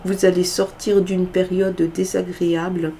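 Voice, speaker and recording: Woman, stuffy cold voice, tarot reading, hesitant fillers, low, monotone. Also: unhurried, a little hiss or hum. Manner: hesitant, many filler sounds. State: has a cold, congested voice. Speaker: tarot card reader. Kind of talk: casual weekly tarot reading.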